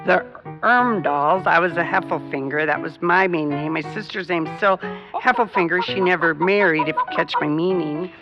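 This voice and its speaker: A woman's voice: fairly low pitch, normal speed, close to an everyday voice. A folksy, grumbling older farm widow acted by a woman in a radio comedy. She rambles on with gossipy indignation. The sound is slightly muffled, with music underneath. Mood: indignant, gossipy. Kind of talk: comedic radio drama acting. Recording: dull lo-fi sound, music behind.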